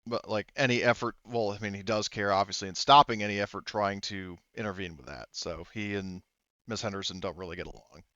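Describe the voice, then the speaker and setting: A man's voice: fairly low pitch, a little fast, sharp tone, no room sound, muffled recording. A man running a tabletop roleplaying game, loosely narrating and relaying what characters say in an improvised way. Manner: loose, relays characters' speech in third person. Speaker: game master.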